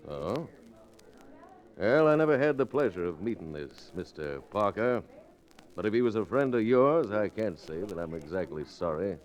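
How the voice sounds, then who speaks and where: An actor playing a cold, cocky, mock-polite gunslinger in an old-time radio western. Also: male voice, on the low side, animated, moderate pace, lightly acted. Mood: cocky, menacing. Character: cold, cocky, smooth-talking gunslinger villain. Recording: dull vintage radio recording.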